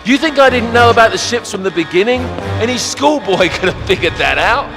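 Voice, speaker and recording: A British man playing a cocky, smooth-talking, treacherous thief in a video game cutscene, glib and mocking. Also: sits high, animated, brisk, music in the background. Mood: smug, mocking. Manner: glib, sardonic, teasing.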